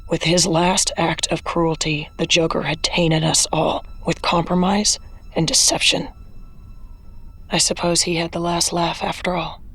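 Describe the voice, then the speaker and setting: A woman playing a somber, regretful figure recounting a dark secret in an audio drama monologue. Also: fairly low pitch, little pitch movement, unhurried, breathy edge, full character voice.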